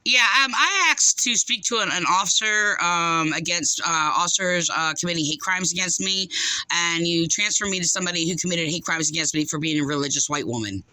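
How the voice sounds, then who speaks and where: A woman caller making a complaint in unscripted speech, aggrieved and insistent, halting and rambling as she goes. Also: lowish voice, bright, forceful tone, dry recording, rough recording.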